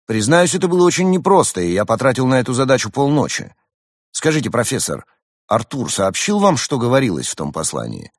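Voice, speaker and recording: A man's voice: mid-range voice, big pitch swings, brisk, dry recording, clean studio sound, pitched above the performer's own voice. A man voicing a cocky, eager young male hedgehog student in a storybook, self-assured and theatrical. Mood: eager, polite. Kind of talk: acted storybook dialogue.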